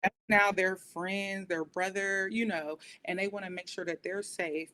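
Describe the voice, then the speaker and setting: A woman's voice: fairly even intonation, a little slow, crowd noise. An American woman talking in a conversational interview, explaining and recounting in a reflective way as a guest. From United States. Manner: reflective, explanatory, often says "you know". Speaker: podcast guest, police dispatcher. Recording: noticeable background noise, low rumble.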